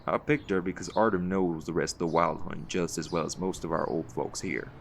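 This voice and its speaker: A man playing a seasoned, folksy leader of a hunt in an audio roleplay, gravelly and reassuring, with a fond teasing streak. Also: sits low, fairly even intonation, brisk, a little hiss or hum. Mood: warm, reflective.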